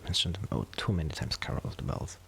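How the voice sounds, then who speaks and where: A man with a low, hushed, breathy voice talks in an intimate ASMR style, as if to a close friend. His phrasing rambles and hesitates, with modest, self-deprecating asides. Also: expressive, fast talker, slight background noise. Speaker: ASMR roleplay host playing a musician friend. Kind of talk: soft ASMR roleplay, casual intimate chat.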